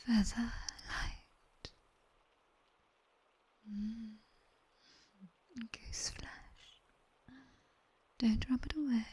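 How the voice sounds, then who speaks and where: A British woman playing a sharp-tongued, teasing nightmare demon tutor in an ASMR roleplay, whispering breathily and intimately close to a binaural mic. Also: on the high side, fairly even intonation, slightly rough, full character voice. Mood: soothing, instructive. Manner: slow, intimate, teasing, commanding. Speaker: ASMR performer. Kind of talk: whispered ASMR roleplay, guided body scan. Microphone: close binaural ear microphone.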